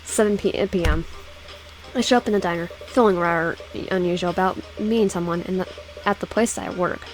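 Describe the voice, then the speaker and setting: A woman's voice: fairly low pitch, brisk, a little hiss or hum, poor audio. A woman casually reading a visual novel game aloud with personal asides, often stumbling over words and repeating phrases. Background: background music.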